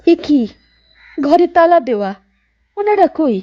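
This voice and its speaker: A woman as a high-voiced, earnest city mother. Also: big pitch swings, takes it slowly, lo-fi sound, exaggerated voice. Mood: surprised. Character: polite widowed city mother, anxious newcomer to village. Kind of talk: Bengali horror cartoon dubbing.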